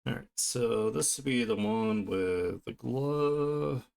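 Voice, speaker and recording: A man chatting casually on an art live stream, talking haltingly and half to himself as he works. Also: medium pitch, gravelly, darkish tone. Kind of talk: casual live-stream chat while drawing.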